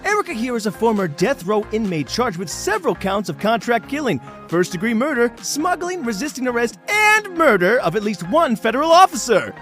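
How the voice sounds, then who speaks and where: A man's voice: very high-pitched, very animated, fairly bright tone, faint music behind, heavily acted. A man playing a flamboyant, cynical game show host in an audio drama, slick, mocking and theatrically condescending. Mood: showy, gleeful. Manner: theatrical, condescending, drawn-out showy delivery. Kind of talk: bombastic TV game show presenting.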